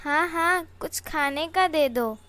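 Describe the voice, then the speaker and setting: A woman voicing a very high-pitched cartoon cat. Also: takes it slowly, bright, forceful tone, heavily acted. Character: meek talking cat, female.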